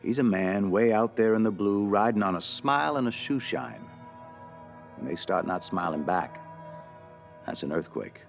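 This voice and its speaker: A man's voice: fairly low pitch, animated, moderate pace, gravelly, soft background music. An actor playing a plain-spoken, weathered friend mourning a salesman in a dramatic stage reading. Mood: rueful, impassioned.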